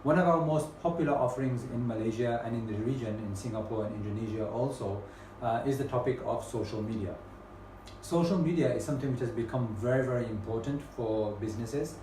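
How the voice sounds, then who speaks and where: A man with a British accent presents a webinar in a measured, unhurried way, often pausing on "um" and "uh". His voice sits at an ordinary pitch. It sounds echoey, picked up from a distance with faint background noise. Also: warm tone. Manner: measured, with frequent ums and uhs. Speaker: webinar host. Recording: echoey room, mic at a distance.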